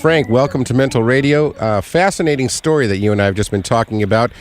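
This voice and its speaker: A man's voice: medium pitch, fast. A man co-hosting a radio show, playful, with casual banter and introductions. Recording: music bed under the voice. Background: music.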